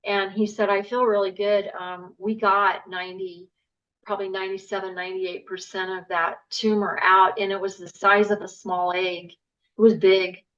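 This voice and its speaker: A woman telling a long story in casual chat as a podcast guest, animated, with filler words. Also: mid-range voice, moderate pace. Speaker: patient's wife, podcast guest. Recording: muffled lo-fi podcast audio.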